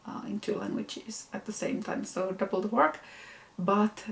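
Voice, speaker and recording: A woman's voice: medium pitch, steady tone, normal speed, gravelly. A woman from Finland, a native Finnish speaker, chatting casually to camera as host of her knitting show, with frequent ums and self-corrections. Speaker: knitwear designer and podcast host.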